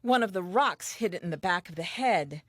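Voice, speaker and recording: An actress playing a talkative, eager and self-assured first woman telling her diary in a comic audio play, earnest and expressive. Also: lowish voice, moderate pace, no echo, studio quality. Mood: curious, delighted.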